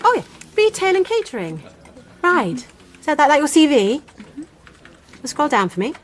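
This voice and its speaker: A lively, patronising job adviser. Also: female voice, high voice, a little slow, a little hiss or hum. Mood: briskly officious. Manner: officious, condescending. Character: brisk, patronising female job-programme adviser. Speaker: actor in a radio play. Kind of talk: radio play acting.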